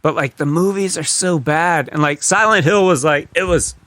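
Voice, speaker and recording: A man's voice: fairly high pitch, normal speed, a bit bright, dry recording. A man co-hosting a podcast, chatting casually about games and films in a blunt, sweary way. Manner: blunt, profane. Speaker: podcast co-host.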